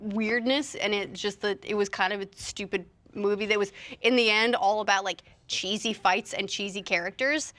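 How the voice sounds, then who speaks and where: A woman's voice: medium pitch, fairly even intonation, normal speed, few pauses, a bit bright. A woman giving her opinions as a panelist in a casual chat.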